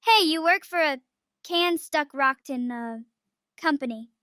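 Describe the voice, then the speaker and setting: A woman's voice: high voice, measured pace, pushed and bright, no echo, clean studio sound. A woman voicing a curious, shy and admiring small child in an audio drama, asking things in a hesitant, childlike way. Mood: shyly excited. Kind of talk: audio drama character acting.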